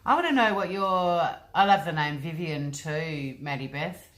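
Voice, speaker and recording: A woman's voice: low voice, moderate pace, a bit bright. A woman hosting a casual livestream from home, reading out viewer comments and rambling chattily with lots of ums and likes. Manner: chatty, rambling, often says um and like. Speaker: livestream host.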